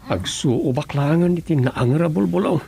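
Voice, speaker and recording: An actor playing an ailing, groaning husband in an Ilocano radio drama-comedy, with an Ilocano accent. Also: male voice, fairly high pitch, moderate pace, creaky, dark tone. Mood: weary, complaining.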